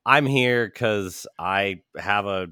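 A man's voice: fairly low pitch, takes it slowly, sharp tone, clear, resonant tone, no echo, clean studio sound. A man co-hosting a podcast in casual chat with a wry, offhand manner. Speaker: podcast co-host.

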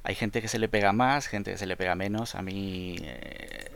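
Gravelly Argentine man in his forties. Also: low voice, steady tone, moderate pace, a bit bright. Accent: Argentine Spanish, softened by years in Spain. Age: 40s. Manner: hesitant, with many fillers. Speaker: guest. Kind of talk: podcast interview.

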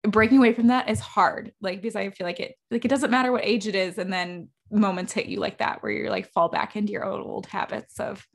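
A woman in her thirties hosting a podcast, chatting casually and self-deprecatingly in quick run-on sentences. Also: mid-range voice, steady tone, creaky. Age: 30s. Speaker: podcast host. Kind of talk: casual interview chat.

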